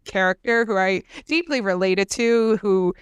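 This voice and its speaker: A young woman co-host chats loosely, with fillers and quick restarts, her nose congested. Her voice comes through very clear, and the recording is dry with no room sound. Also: medium pitch, no room sound. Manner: chatty, many fillers and self-corrections. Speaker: podcast co-host. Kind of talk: casual chat.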